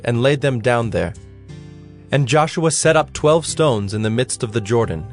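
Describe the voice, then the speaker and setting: A man narrating scripture as scripted drama, in a measured, solemn storytelling manner. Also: medium pitch. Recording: music behind the narration.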